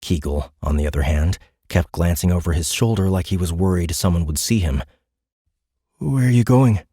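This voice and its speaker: A man's voice: low-pitched, steady tone, brisk, warm tone, slightly rough, no echo, studio quality. A man reading scripted science fiction narration for an audiobook in a dramatic storytelling style.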